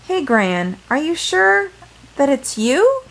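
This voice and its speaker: A woman's voice: sits high, big pitch swings, slow, creaky, a bit bright, pitched above the performer's own voice, full character voice. A woman voicing a suspicious, astonished young girl in a storybook, questioning and full of wonder.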